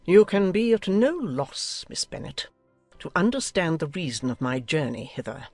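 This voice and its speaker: A woman's voice: low-pitched, big pitch swings, moderate pace, a little mellow, no room sound, soft background music. A woman playing a haughty, imperious, ill-tempered older noblewoman in an audiobook drama, speaking in a stiff, formal, commanding way. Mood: angry, imperious.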